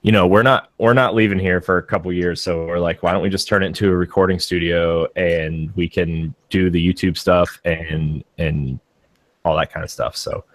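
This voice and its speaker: Low-voiced man, dry close recording. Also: steady tone, moderate pace, no room sound. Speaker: podcast co-host. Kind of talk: casual chat.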